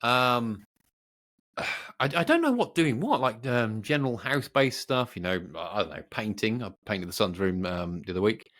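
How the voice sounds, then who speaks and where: A man's voice: fairly low pitch, sing-song, normal speed, fairly bright tone. A middle-aged British man co-hosting a podcast, chatting casually in a rambling, self-deprecating way. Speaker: podcast co-host. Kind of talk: casual chat.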